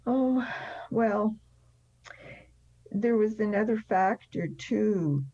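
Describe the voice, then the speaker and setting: A woman's voice: medium pitch, very slow, dark tone, no echo. An elderly woman telling her personal story as an interview guest, blunt and emphatic in the way she talks. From France. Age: 70s or older. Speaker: interview guest, recovery speaker. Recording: slightly dull, band-limited sound.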